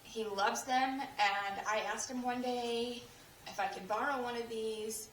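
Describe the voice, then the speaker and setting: Soft-spoken, hoarse woman in an echoey kitchen. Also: on the high side, steady tone, a little slow, slight background noise. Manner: chatty, rambling, with many fillers and restarts. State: losing her voice. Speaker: cleaning tips creator. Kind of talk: casual how-to demonstration. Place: home kitchen. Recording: echoey room, voice from a distance.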